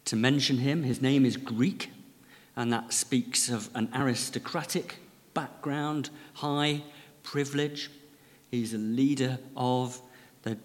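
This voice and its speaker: Bloke giving a sermon, easygoing with dry jokes, big echoey church. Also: male voice, mid-range voice. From Lancashire, England. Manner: gentle, measured, conversational with dry humour. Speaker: preacher. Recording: long reverb of a large church.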